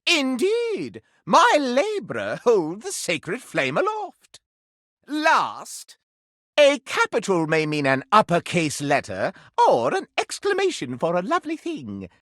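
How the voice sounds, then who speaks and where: Snooty melodramatic candelabra game character, super theatrical gossip, takes his time, crisp bright sound, really dry close recording. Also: high voice, huge pitch swings, slow pace, no room sound, exaggerated voice. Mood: haughty, exacting. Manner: pompous, florid, melodramatic declaiming. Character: flamboyant, haughty, scandal-loving living candelabra, theatrical gossip.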